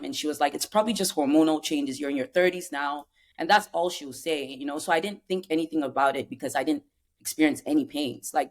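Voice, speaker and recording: Podcast guest, woman in her thirties from Cameroon, chatty and rambling. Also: sits low. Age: 30s. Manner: chatty, rambling with many fillers. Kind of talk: casual chat.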